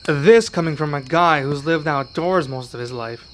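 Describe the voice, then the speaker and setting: A man's voice: fairly high pitch, moderate pace, slight background noise, natural voice. A man playing an earnest young werewolf learning magic in an ASMR roleplay, speaking to one listener with some hesitation. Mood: sheepish.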